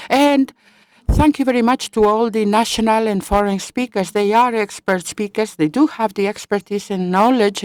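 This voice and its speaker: A woman giving simultaneous interpretation of a conference speech, halting, with fillers and self-corrections. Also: medium pitch, a little mellow, no room sound.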